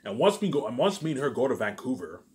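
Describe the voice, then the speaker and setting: A man's voice: medium pitch, very animated, fast talker. A man in a casual, rambling monologue, boastful and repetitive, with emphatic bursts that trail off into muttered tags. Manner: repetitive, keeps restating phrases and tagging sentences with catchphrases; boastful, self-aggrandizing.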